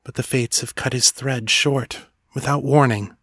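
A man voicing a brooding male dark lord who rants in anguish and breaks into sobs, in a storybook reading. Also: mid-range voice, steady tone, measured pace, dry recording, studio quality. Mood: reflective.

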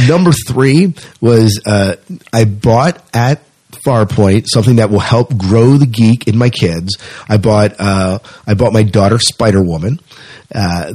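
A man's voice: on the low side, darkish tone, breathy edge, no room sound. A middle-aged man chatting casually as the host of a podcast.

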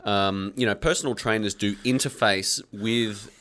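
A man's voice: fairly low pitch, fairly even intonation, normal speed, sharp tone, a little hiss or hum. A man hosting a podcast, chatty and jokey, asking long rambling questions. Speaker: podcast host. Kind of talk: casual podcast chat.

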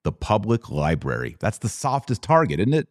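A man's voice: fairly low pitch, huge pitch swings, normal speed, darkish tone, dry recording, clean studio sound. A man hosting a podcast, giving conversational religious commentary in short, emphatic phrases. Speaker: podcast host.

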